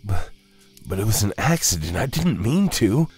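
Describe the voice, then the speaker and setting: A man's voice: fairly low pitch, big pitch swings, normal speed, darkish tone, slightly rough, faint music behind, pitched above the performer's own voice. A man voicing a timid, guilt-ridden younger brother who stammers and pleads in a horror story. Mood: timid, guilty. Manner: stammering, apologetic.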